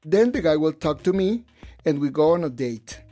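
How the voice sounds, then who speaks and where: A man's voice: a little high, animated, moderate pace, warm tone. A man reading a first-person storytelling voice-over as an English translation. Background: faint music.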